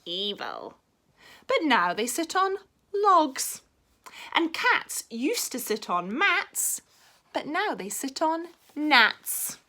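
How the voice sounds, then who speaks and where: A woman voicing a bossy, rule-making frog in a storybook reading, shrill and emphatic. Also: wildly swooping pitch, takes it slowly, pushed and bright, full character voice. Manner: shrill, emphatic, sing-song rhymes.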